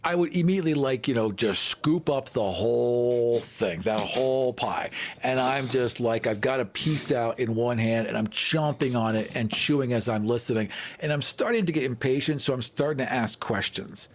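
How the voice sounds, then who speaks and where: A man's voice: medium pitch, normal speed, few pauses. A man playing a tabletop game, talking casually and emphatically with strong opinions.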